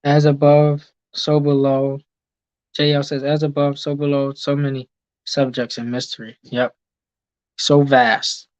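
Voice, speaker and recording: A man's voice: a little high, level tone, measured pace, clear, resonant tone, no room sound, muffled recording. A man hosting a casual teaching talk, reading viewer comments aloud and answering them, with many ums and okays. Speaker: host reading live chat.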